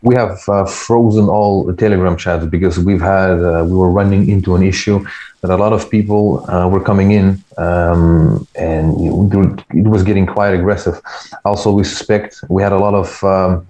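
A man with a Ukrainian accent, a guest translating and answering interview questions in a measured way with many fillers. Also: low-pitched, fairly even intonation, dark tone, reverberant, muffled recording. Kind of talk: interview answers over a call.

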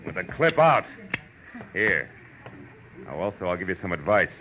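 An actor playing a tough, sardonic private eye in a 1940s radio noir, wry, clipped and world-weary. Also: male voice, low voice, very animated, moderate pace, noisy background. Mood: tough, scornful. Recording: muffled vintage radio recording.

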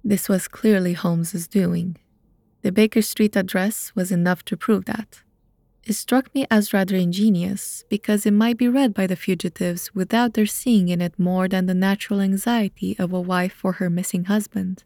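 A woman narrating a scripted detective story in a measured, formal storytelling manner. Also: medium pitch, fairly even intonation, mellow, no echo, clean studio sound.

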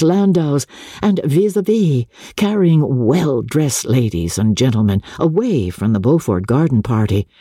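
Husky, low-toned woman reading dramatically. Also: dry recording, studio quality. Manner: measured, expressive, theatrical. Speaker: audiobook narrator and radio presenter. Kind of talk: dramatic literary audiobook reading.

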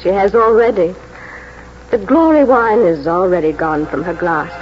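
An actress playing a reflective, knowing mother in a 1940s radio drama, with a measured, poetic delivery. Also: fairly low pitch, slight background noise, natural voice. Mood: calm, knowing. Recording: dull vintage radio, loud musical underscore.

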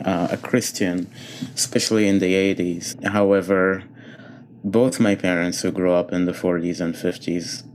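A man from Egypt, a concert pianist, answering interview questions thoughtfully with many pauses and fillers. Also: sits low, darkish tone, a little hiss or hum.

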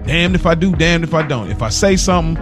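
A man's voice: a little high, expressive, fast, music in the background. A man co-hosting a casual podcast, talking informally with lots of slang and repeating phrases for emphasis. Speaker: podcast co-host.

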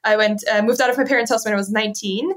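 A young woman from southern Germany with a German accent, chatting as a podcast guest, lively and quick to laugh. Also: medium pitch. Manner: lively, laughs often, says "right?" a lot. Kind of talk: casual interview chat.

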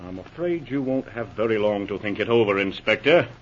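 An actor playing a smug, menacing smuggling boss, mock-polite and taunting, in a 1940s radio drama. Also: male voice, on the low side, animated, a little fast, a little hiss or hum, close to an everyday voice. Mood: smug menace. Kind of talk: 1940s radio villain acting. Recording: muffled old radio recording.

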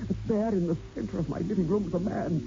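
A man's voice: high-pitched, fast, gravelly. An actor playing a frightened, overwrought man who pleads that he is sane, in 1960s radio horror style. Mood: haunted recounting. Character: terrified, raving man insisting he is sane. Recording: muffled, noisy old radio recording.

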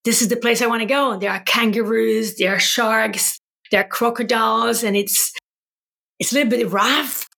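Polish-accented woman, gravelly husky voice. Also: fairly high pitch, moderate pace. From Poland. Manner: earnest, animated storytelling with tag questions. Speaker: wellbeing coach, podcast guest. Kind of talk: conversational interview.

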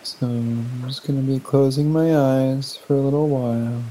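A man leading a guided meditation in a gentle, unhurried manner with long pauses. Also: medium pitch, fairly even intonation, rough recording. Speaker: meditation teacher.

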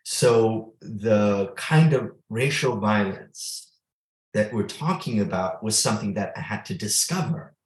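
Slow, thoughtful low-voiced man. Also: animated, echoey room, low-quality recording. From Ethiopia. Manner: slow, thoughtful, with frequent restarts. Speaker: author, guest. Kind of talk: reflective interview answer.

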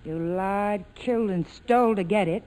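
A woman's voice: medium pitch, moderate pace, darkish tone, close to an everyday voice. A woman playing a determined, bitter young heroine in a 1940s radio drama, controlled and dramatic. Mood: cold, controlled. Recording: faintly noisy vintage radio broadcast.

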